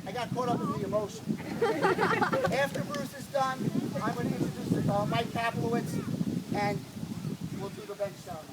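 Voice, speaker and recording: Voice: medium pitch, big pitch swings, normal speed. A man making informal announcements outdoors to a gathering as the organizer of a ceremony. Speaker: ceremony organizer introducing speakers. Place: outdoors at a ball field. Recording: very noisy outdoor recording.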